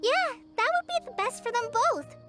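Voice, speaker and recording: A woman's voice: extremely high pitch, lively intonation, moderate pace, a bit bright. A woman voicing an earnest, timid young student girl in a video game, thinking aloud in a hesitant, sincere way. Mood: thoughtful, hopeful. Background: music.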